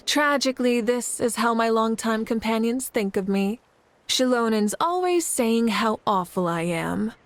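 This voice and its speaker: A woman playing a composed, righteous leader with a playful side, in measured, assured video game dialogue. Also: on the high side, lively intonation, slight background noise. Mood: gently playful.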